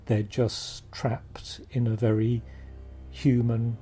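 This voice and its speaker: A man's voice: medium pitch, little pitch movement, slow pace, mellow, soft background music. A British man, a producer, talking effusively and in a heartfelt way in an interview. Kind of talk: interview talk.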